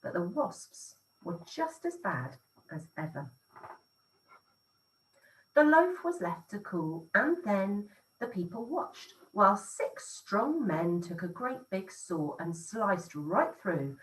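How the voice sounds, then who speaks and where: Unhurried woman teacher reading a children's book, sing-song and expressive, a bit off-mic. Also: mid-range voice, recorded from a distance, rough recording. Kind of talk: reading a rhyming picture book aloud.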